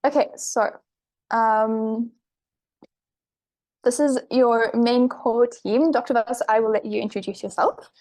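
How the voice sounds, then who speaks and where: A woman's voice: fairly high pitch, slow, long pauses, darkish tone. A woman coordinator welcoming participants on a video call, polite and upbeat, with frequent ums. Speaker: program coordinator hosting the meeting. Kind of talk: scripted welcome and agenda talk.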